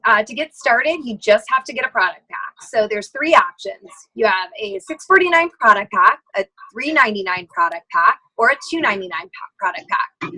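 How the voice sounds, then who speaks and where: A woman on a conference call giving a casual motivational sales pitch, earnest and encouraging in manner. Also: sharp tone, slightly rough, rough recording. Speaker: sales team member.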